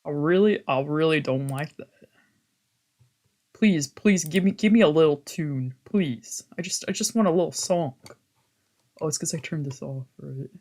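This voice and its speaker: Low-voiced woman chatting casually on stream. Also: moderate pace, darkish tone. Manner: informal, sweary, self-deprecating, playful. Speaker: VTuber streamer playing a visual novel. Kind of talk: casual rambling livestream chat. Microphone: old, cheap microphone.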